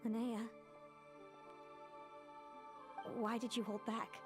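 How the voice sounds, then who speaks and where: A woman playing a grave, wistful young female seer in a video game, with a measured, formal and fatalistic delivery. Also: fairly high pitch, little pitch movement, over music, lightly acted. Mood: troubled brooding. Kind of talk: dramatic video game voice acting.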